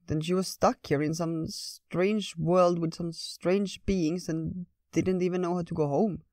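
Low-voiced woman telling a fairytale. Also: fairly even intonation, unhurried, a little mellow, dry recording, clean studio sound. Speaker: storyteller. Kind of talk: gentle scripted fairytale narration.

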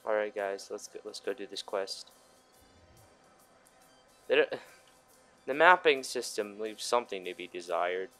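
A man's voice: lowish voice, unhurried, long pauses, a bit bright. Sweary man doing game commentary. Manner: sweary, rambling. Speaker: gaming video host. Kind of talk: casual let's play commentary. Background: faint game music.

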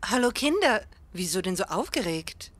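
A woman's voice: mid-range voice, very animated, moderate pace, fairly bright tone. A young woman acting a bride-to-be aunt in an amateur radio play. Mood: relieved. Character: worried bride, kindly aunt whose necklace vanished.

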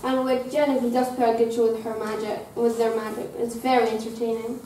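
A student plays a gentle young princess who speaks earnestly and a little high. The voice is mellow and sounds far from the mic, bouncing around a bare school room with faint noise behind. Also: female voice, flat delivery, fairly quick, very echoey, natural voice. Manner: earnest, reading from script. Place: school drama room, indoors. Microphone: far camera.

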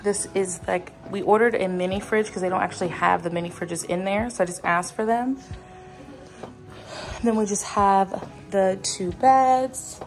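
A woman's voice: medium pitch, measured pace, soft background music. A young woman in her twenties giving a casual travel vlog tour of a hotel room, filming on a phone held at arm's length. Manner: chatty, listing things offhand. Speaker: travel vlogger.

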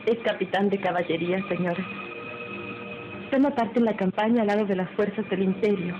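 Una mujer interpreta a una joven esposa humilde, formal y respetuosa, en una radionovela antigua. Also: female voice, mid-range voice, fairly quick, close to an everyday voice. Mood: humble, pleading. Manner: deferential, earnest, formal. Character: humble, submissive young expectant wife, later grieving. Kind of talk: old Spanish-language radio drama acting. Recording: muffled old radio drama recording, music behind.

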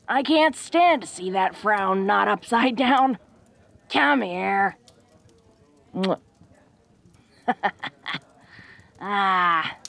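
Woman acting a slow-talking, gravelly, awkward tough orc girl. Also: mid-range voice, a bit bright, heavily acted. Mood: tender, caring. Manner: folksy, halting tough-girl talk with small chuckles. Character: tough, confident, secretly awkward, affectionate young orc woman. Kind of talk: intimate roleplay audio drama.

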